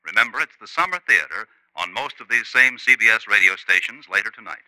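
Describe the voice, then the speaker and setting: A man hosting and narrating 1950s radio crime drama in dry, deliberate, clipped phrases. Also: on the low side, expressive, pushed and bright, no room sound, rough recording. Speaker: host and narrator.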